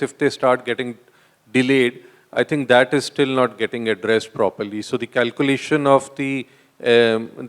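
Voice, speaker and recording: A man, a wind project developer on a panel, explaining point by point with fillers. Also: medium pitch. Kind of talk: panel discussion. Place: conference hall.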